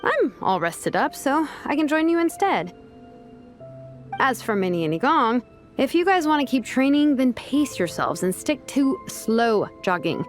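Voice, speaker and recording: A woman's voice: high voice, big pitch swings, measured pace, soft background music. A woman playing a confident, easygoing female vice captain in a video game, coaching in a friendly, instructive way. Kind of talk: video game cutscene voice acting.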